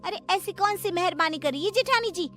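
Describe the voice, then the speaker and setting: A quarrelsome younger sister-in-law from a storybook tale, played by a woman, very high and piercing. She scolds with sarcasm and swoops through her demands, with music underneath. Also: animated, brisk, fairly bright tone. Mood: accusing. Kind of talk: animated Hindi moral story dialogue.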